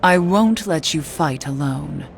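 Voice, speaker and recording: A woman playing a mysterious cursed witch in a video game, speaking in a grave, composed, measured way. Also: low-pitched, lively intonation, gravelly, slight background noise, full character voice. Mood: resolute. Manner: measured, grave, quietly dramatic. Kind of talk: video game cutscene acting.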